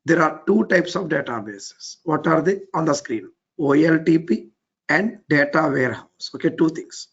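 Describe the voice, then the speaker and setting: A man with an Indian English accent teaching a live technical class. His voice is weak and low, and he keeps repeating his cues to move on step by step. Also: creaky, slightly rough, lo-fi sound. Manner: repetitive, keeps saying "let's get started, step by step". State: voice a bit weak and low from overuse. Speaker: trainer.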